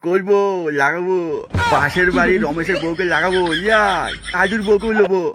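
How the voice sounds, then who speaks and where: A man's voice: sits high, moderate pace, over loud music, natural voice. A man voicing a lewd drunken husband in a comedy cartoon, bragging repetitively.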